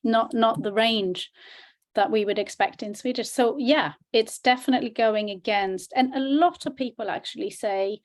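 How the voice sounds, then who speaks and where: A woman's voice: fairly high pitch, moderate pace. A woman co-presenting a language question-and-answer session as a teacher, speaking in a chatty, informal, demonstrative way.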